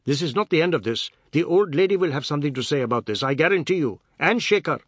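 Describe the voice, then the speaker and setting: A man's voice: on the high side, animated, fast, a bit bright, slightly rough, no room sound, pitched above the performer's own voice. A man voicing an indignant, blustering, hot-tempered proud man in a storybook, full of emphatic threats and declarations.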